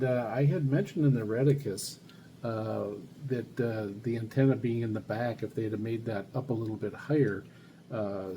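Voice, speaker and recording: A man hosting a casual hands-on demonstration, talking in a halting, unscripted way as if thinking aloud. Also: lowish voice. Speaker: hobbyist video host reviewing radios. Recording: noisy recording with loud background noise.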